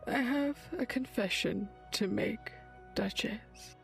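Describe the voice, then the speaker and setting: A woman playing a weary, dying foreign witch in a dark fantasy audio drama, grave and halting, with a husky, breathy voice that often sinks near a whisper. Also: fairly high pitch, soft background music. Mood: solemn confession.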